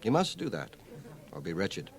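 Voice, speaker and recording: Low-voiced, erudite older scholar. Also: male voice, huge pitch swings, vocal fry, heavily acted. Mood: dry, cutting. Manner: measured, precise, sardonic. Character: dry, erudite, stoical older male scholar, narrating his life. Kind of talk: radio drama acting and first-person narration. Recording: 1980 radio drama, home cassette transfer.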